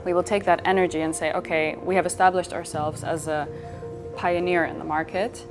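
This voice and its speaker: A woman's voice: lowish voice, little pitch movement, brisk. A woman from Switzerland talking as a company founder in an interview, telling stories in a casual, conversational way and quoting imagined dialogue. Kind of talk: interview storytelling in casual speech. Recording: clear background music.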